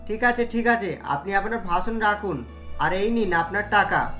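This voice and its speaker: A woman's voice: medium pitch, animated, moderate pace, a bit bright, voice pushed down, natural voice. A woman voicing a young married man in a cartoon, a doting but easily indignant husband who talks emphatically. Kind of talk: cartoon story dialogue. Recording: music under the voice.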